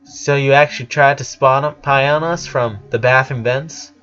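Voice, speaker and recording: A man's voice: medium pitch, steady tone, moderate pace, fairly bright tone, highs cut off, faint music behind, lightly acted. A man reading the part of a kind, worried eleven-year-old boy in a story, hesitant and earnest. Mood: casual, evasive.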